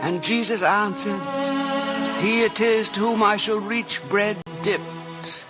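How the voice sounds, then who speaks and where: An actor playing a solemn scripture narrator who also speaks the words of a grave Jesus, reverent and formal in a radio drama. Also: male voice, high-pitched, fairly even intonation, takes it slowly. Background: prominent music.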